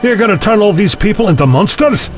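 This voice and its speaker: Guy as a young worried companion in audio drama, high animated voice, practical sort. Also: brisk, music in the background.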